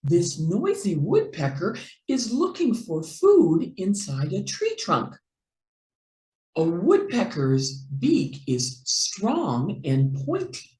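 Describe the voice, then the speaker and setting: A woman reading a picture book aloud to young children in an expressive, deliberate, playful way, as a teacher would. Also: lowish voice, echoey room. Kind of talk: slow animated read-aloud for young children.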